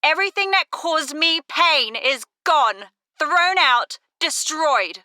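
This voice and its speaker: A woman acting in an audio drama as a cunning, sharp-tongued wife who plays the wronged party. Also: high-pitched, lively intonation, slow, noisy background. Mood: cold, bitter.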